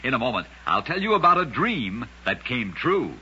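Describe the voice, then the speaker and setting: A man hosting a radio show, narrating scripted curiosities in a measured, dramatic storyteller manner. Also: mid-range voice, sing-song, bright, forceful tone, slight background noise. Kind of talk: scripted old-time radio narration of oddities. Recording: dull, lo-fi old radio recording.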